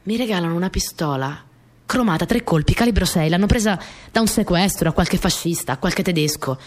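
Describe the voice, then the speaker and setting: An actress playing a small, earnest, easily flustered young wartime partisan courier, telling her story in an intimate, expressive radio drama monologue. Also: fairly low pitch, brisk, close to an everyday voice. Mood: shy, wry.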